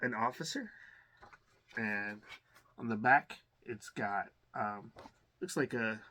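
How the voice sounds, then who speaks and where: A man's voice: on the low side, takes it slowly, pushed and bright. A man hosting an unboxing in a casual, hesitant, rambling way, thinking aloud while a little under the weather. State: under the weather, occasional cough. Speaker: host of a collector's unboxing channel.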